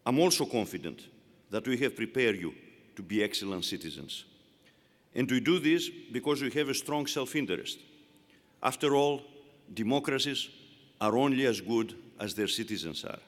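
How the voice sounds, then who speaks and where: A man with a Greek accent giving a formal, measured commencement address to graduates. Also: mid-range voice, animated. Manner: measured, emphatic oratory. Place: large indoor arena. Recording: long arena reverb.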